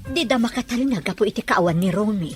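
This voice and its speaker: A woman with a Filipino Ilocano accent playing a concerned, encouraging middle-aged mother in a drama, with a husky voice. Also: mid-range voice, animated, fast, over music, close to an everyday voice. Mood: worried. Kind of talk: drama dialogue.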